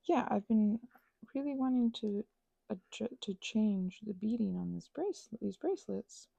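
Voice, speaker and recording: A woman's voice: medium pitch, expressive, moderate pace, gravelly, warm tone, slightly rough, dry recording. A woman chatting casually in an ASMR craft ramble, whimsically talking to herself and often drifting into whispers.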